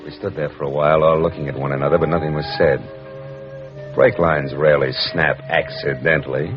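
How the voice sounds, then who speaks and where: A very low-voiced, hard-boiled American private eye, clipped, wry and in command. He narrates like a 1940s radio drama, on a dull old recording with music. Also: flat delivery, fairly quick. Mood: wry, brooding. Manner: wry, clipped, world-weary. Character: tough, wry male private detective, dry and in command.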